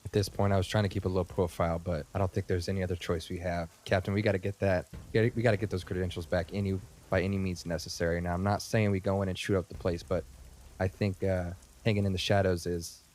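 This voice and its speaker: A man in casual improvised role-play at a tabletop game, talking in a measured, low-key way. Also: flat delivery, darkish tone.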